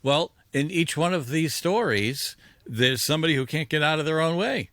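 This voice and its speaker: A man's voice: on the high side, expressive, normal speed, fairly bright tone, no room sound. A man co-hosting a podcast, talking casually about films in a rambling way, often interrupting himself. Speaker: podcast co-host. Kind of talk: casual film discussion.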